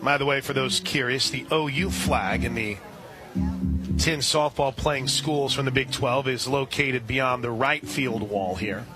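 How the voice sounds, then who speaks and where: A man doing live radio play-by-play of a softball game, relaxed and chatty, lifting his voice on big plays. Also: medium pitch, unhurried, music in the background, rough recording.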